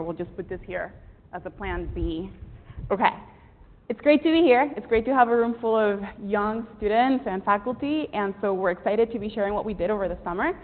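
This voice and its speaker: A woman from Rico, giving an earnest academic presentation to a room full of students, with frequent ums. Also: mid-range voice. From Puerto Rico. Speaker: research presenter. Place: lecture room with an audience. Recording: tinny, echoey lecture hall recording.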